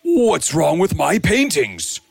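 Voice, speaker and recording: A man playing a creaky, slow, dreamy prince. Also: a little high, wildly swooping pitch, faint music behind, exaggerated voice. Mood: earnest. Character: mild, dreamy eldest prince who paints. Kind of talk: children's bedtime story drama.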